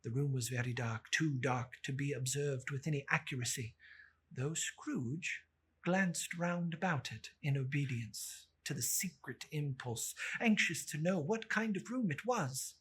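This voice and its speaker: A narrator giving a solemn, theatrical, deliberate dramatic reading of a classic story. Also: medium pitch, slight background noise.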